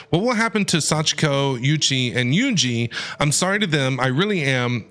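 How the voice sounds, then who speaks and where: A man streaming a game, reading dialogue aloud and reacting expressively. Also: fairly high pitch. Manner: expressive, animated. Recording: game music under the voice. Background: game music.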